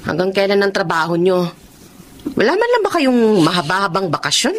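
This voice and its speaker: An actress playing a caring middle-aged wife and mother who nags gently in a radio drama. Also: medium pitch, big pitch swings, a little fast, slight background noise, natural voice. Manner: gently nagging.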